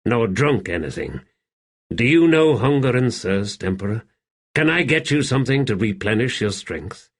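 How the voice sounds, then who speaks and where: A man voicing a shrewd slave in an audiobook, calm, deferential and measured, speaking quietly. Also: mid-range voice. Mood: careful, deferential. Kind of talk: audiobook character acting.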